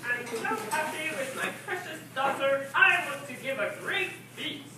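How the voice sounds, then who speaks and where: A woman's voice: high-pitched, a little slow. A woman voicing a doting, forgetful royal parent in a puppet show, earnest and theatrical. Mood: happy. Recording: echoey room from far off, faint noise.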